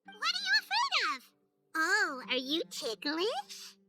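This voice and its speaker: A woman voicing a tiny, chatty, excitable fairy companion in a video game, with a squeaky childlike voice and chirpy manner. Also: takes it slowly, soft background music, full character voice. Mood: cheerful, teasing. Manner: speaks of herself by name, chirpy. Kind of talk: animated video game acting.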